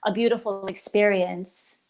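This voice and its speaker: A woman's voice: mid-range voice, steady tone, normal speed, rough recording. A woman in her forties from the Philippines, a stage performer talking enthusiastically about her career in a casual interview. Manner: warm and enthusiastic, with frequent restarts. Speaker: guest performer.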